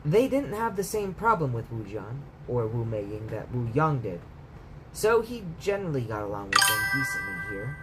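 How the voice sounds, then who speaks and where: A man's voice: medium pitch, very animated, normal speed. A man reading a bedtime story aloud as a storyteller, in scripted narration. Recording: clear background noise.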